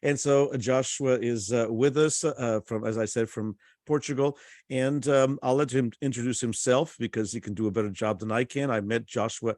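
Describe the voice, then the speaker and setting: A man hosting a conference session over a video call, introducing a guest speaker in a halting way with many uhs. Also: mid-range voice, fairly even intonation, darkish tone, dry recording, studio quality. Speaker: conference host.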